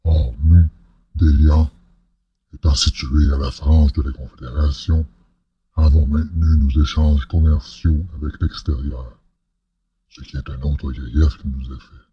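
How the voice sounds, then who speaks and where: A man's voice: level tone, measured pace, no echo, muffled recording, exaggerated voice. A man playing a grave, courteous head of state in a science-fiction audio drama, speaking formally and with measure. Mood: measured, confiding. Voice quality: very deep, husky, rasping.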